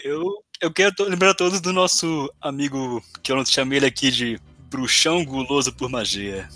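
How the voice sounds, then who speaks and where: A man's voice: mid-range voice, fairly quick, pushed and bright, faint music behind. A man with a Brazilian accent in casual podcast banter, teasing his co-host with mock questions. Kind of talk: casual chat looking back on a role-playing campaign.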